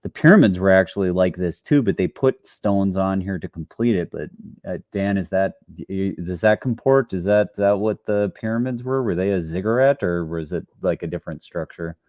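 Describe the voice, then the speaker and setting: A man's voice: deep voice, moderate pace, darkish tone, no echo. A man hosting a casual podcast discussion, asking questions and searching for words. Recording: dull dry mic sound.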